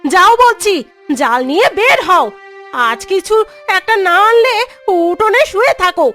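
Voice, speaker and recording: A woman playing a sharp-tongued, nagging, angry wife of a poor fisherman in a cartoon, scolding and bossy. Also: very high-pitched, sing-song, measured pace, exaggerated voice. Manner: scolding, commanding. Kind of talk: cartoon dialogue. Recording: music behind the voice.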